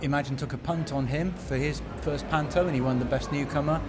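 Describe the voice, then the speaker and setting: A man's voice: a little high, fairly even intonation, fast talker. A man hosting a podcast, narrating and chatting with a guest at an awards night. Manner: upbeat, chatty interviewer. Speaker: podcast host. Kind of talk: narration and interviewer at an awards event. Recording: music and crowd noise behind. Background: crowd chatter and music.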